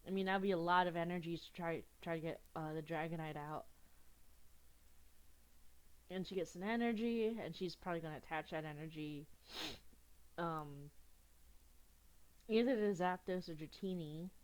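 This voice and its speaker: A woman's voice: fairly low pitch, moderate pace, long pauses, poor audio. A young woman with a cold, sniffling a little as she chats casually through an online card game, giggling and restarting her sentences. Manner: chatty, giggly, restarts often. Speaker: gaming youtuber.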